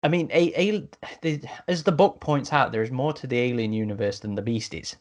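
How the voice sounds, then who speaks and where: Man, average pitch, casual discussion, hesitant phrasing, podcast panelist. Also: fairly quick.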